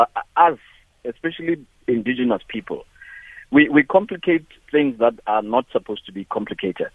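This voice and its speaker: A man's voice: medium pitch, no room sound. A South African man calling in to a radio talk show, speaking with passion and often repeating and restarting his words. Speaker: caller. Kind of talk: call-in radio comment. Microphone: phone. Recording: tinny phone line.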